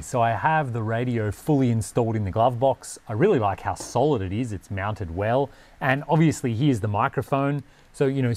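A man's voice: on the low side, a little mellow, a little hiss or hum. A man in his forties talking casually to camera like a DIY vlogger, thinking aloud as he explains things in a conversational way. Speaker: vlogger presenting a DIY vehicle install.

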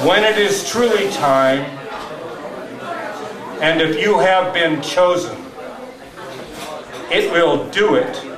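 An older man in his sixties gives a live poetry reading, with deliberate dramatic pauses and wry asides. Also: fairly high pitch. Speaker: poetry reader at an open mic. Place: small tavern stage indoors. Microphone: stand microphone, captured from far camera. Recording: roomy live tavern, distant mic, audience noise.

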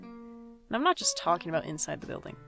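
A woman's voice: deep voice, sing-song, fairly quick, gravelly, music in the background, heavily acted. A woman playing a sardonic young night-shift worker in an audio drama, with a dry, casual delivery. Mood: wry narration. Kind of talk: audio drama acting and first-person narration.

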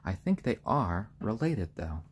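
Slightly hoarse man with very lively pitch. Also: a little slow. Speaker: live stream host. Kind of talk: casual chat on a live stream, with cooing to pets. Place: room in a home, indoors. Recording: clear background noise, bird sounds.

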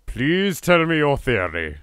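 A man's voice: a little high, big pitch swings, slow, a bit bright, clear, resonant tone. A British man hosting a let's play, commenting with playfully exaggerated reactions. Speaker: gaming video host. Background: game music.

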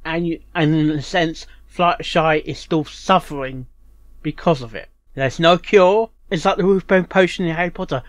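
A man hosting a reaction video in casual, rambling commentary, haltingly repeating and restarting his phrases. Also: on the high side, no room sound. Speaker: reaction channel host.

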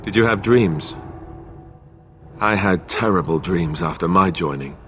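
A young warrior with a low voice in a fantasy video game. He is sombre and sympathetic, speaking earnestly and reflectively over faint noise. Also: measured pace, fairly bright tone. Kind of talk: video game dramatic acting.

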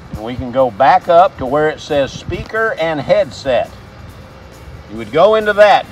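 A man's voice: on the high side, fairly bright tone. A man in his fifties presenting a plain-spoken, step-by-step how-to as a service advisor, recorded outdoors. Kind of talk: instructional how-to demonstration. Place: outdoors in a dealership parking lot.

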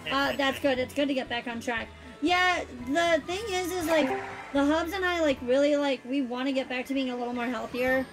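Woman, 30s, high-pitched streamer host, chatty and loose, heavy on "like". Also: steady tone, normal speed, music in the background. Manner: chatty, fills speech with "like". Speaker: streamer hosting a game stream. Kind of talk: casual chat while gaming.

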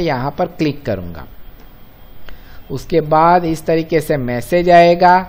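A man with an Indian accent presenting an informal step-by-step software tutorial, explaining to viewers as friends and mixing in English terms. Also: a little high. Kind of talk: step-by-step screen-recording walkthrough, informal.

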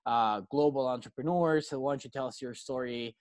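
A man's voice: mid-range voice, brisk, no echo, clean studio sound. A man hosting an interview, asking questions casually with many fillers. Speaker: host.

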